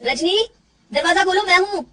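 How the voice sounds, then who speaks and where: Lady voicing a soft young husband, pleading, high and lively voice, bit distant. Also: quick pace, close to an everyday voice. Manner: coaxing, pleading. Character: gentle loving young husband.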